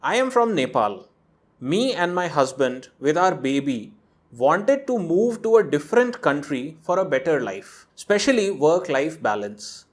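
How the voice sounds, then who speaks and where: A man's voice: on the high side, very animated, measured pace. A man hosting a channel, reading out a viewer's emailed story and breaking in with his own asides. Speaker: host reading a viewer's letter. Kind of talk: narrated reading with commentary.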